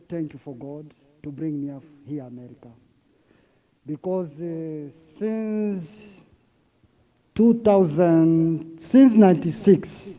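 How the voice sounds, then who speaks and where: High voiced guy from congo, broken english, slow and repetitive, muffled lo-fi sound. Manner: broken, repetitive phrasing, unhurried with long pauses. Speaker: guest speaker. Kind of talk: halting personal testimony. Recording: dull lo-fi sound.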